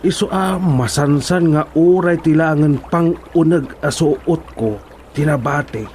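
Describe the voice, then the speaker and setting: Hesitant Ilocano-accented cousin for radio comedy, male actor, rather high-pitched, slow and fretful. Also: a little mellow, a little hiss or hum, lightly acted. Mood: reluctant. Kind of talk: radio comedy drama acting.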